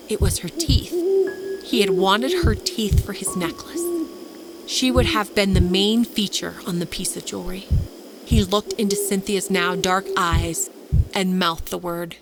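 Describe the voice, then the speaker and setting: A woman's voice: mid-range voice, noisy background. A woman storyteller reading a scripted horror tale for a podcast. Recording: loud music under the voice.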